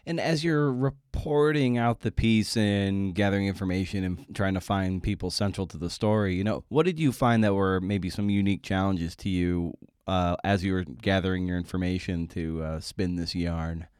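A man's voice: low-pitched, fairly even intonation, normal speed, few pauses, darkish tone, clean tone, dry recording, clean studio sound. A man hosting an interview podcast, talking in an informal, reactive, conversational way.

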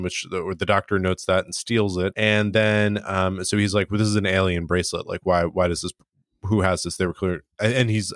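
A man's voice: low-pitched, a bit bright, no room sound, clean studio sound. A man hosting a podcast, recapping an episode in a rambling, chuckling way. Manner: rambling, chuckling, filler-heavy. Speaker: podcast host.

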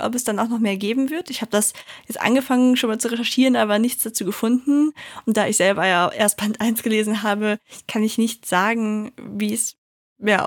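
A woman's voice: mid-range voice, dry recording, studio quality. A young adult woman talking casually about books she loves and reading their blurbs aloud. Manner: chatty and enthusiastic, reads blurbs aloud more slowly. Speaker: book reviewer. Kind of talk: casual book recommendations to viewers.

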